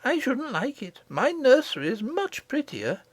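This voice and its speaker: A woman's voice: sits high, very animated, measured pace, husky, dry recording, pitched above the performer's own voice, heavily acted. A woman voicing an innocent, curious little princess in a storybook audiobook, with eager, frank, childlike questions.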